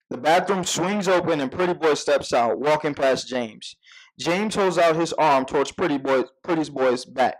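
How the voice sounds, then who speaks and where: A man reading scripted stage directions as narrator at a live table read, measured with occasional stumbles. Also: fairly high pitch, rough recording.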